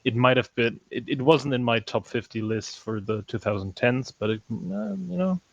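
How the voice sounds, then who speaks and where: A man's voice: medium pitch, expressive, moderate pace, gravelly, no echo, lo-fi sound. Man chatting on a film podcast, dry sound. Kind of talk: casual chat reviewing films.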